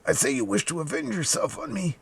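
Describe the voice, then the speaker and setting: A man voicing a dying, poisoned soldier who accuses bitterly in strained, drawn-out, anguished outbursts, in an audiobook story. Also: sits high, big pitch swings, gravelly, husky, pitched above the performer's own voice, exaggerated voice. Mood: bitter, accusing.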